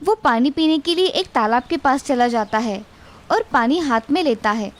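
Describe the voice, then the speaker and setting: A woman narrating an animated children's story in a steady, clear storytelling manner. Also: high voice, normal speed.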